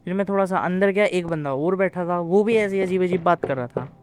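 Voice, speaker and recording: High voiced guy casually recapping a game, mixes in english, some noise behind him. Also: fairly quick, darkish tone. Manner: colloquial, mixes in English words. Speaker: gaming video narrator. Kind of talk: casual gameplay storytelling.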